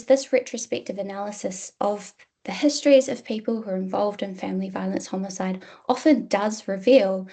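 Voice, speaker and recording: A woman with a New Zealand accent, a university lecturer giving an academic presentation in a measured way, with frequent "um" fillers. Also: medium pitch, gravelly, warm tone, slightly rough.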